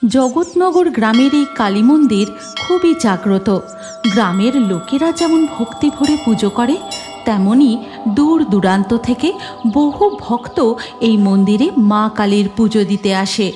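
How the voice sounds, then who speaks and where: A woman reading scripted cartoon story narration in an expressive, dramatic way. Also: a little high, measured pace, faint music behind.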